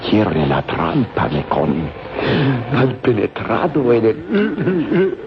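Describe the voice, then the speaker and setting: Cunning, gloating villain, drawling theatrically. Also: male voice, mid-range voice. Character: cunning, scheming German villain, gloating and treacherous. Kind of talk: old radio serial acting. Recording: muffled, noisy, echoey old radio recording.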